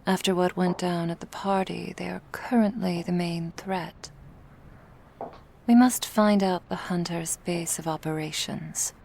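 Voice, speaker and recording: A woman playing a cool, menacing female vampire crime boss in an ASMR roleplay, speaking in a measured, authoritative way. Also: fairly low pitch, steady tone, gravelly, darkish tone, a little hiss or hum. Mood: commanding.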